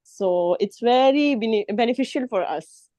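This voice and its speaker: A woman from Pakistan who works as a nurse, politely giving feedback in an online class, pausing often with fillers and addressing the teacher as sir. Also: on the high side, animated, warm tone, no echo. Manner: polite, deferential, many fillers. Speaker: nurse and student. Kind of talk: class feedback on a video call.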